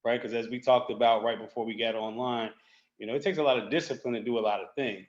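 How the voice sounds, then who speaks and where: A man speaking as a guest, relaxed and rambling, with casual street slang. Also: medium pitch, quick pace, reverberant, muffled recording. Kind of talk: casual conversation.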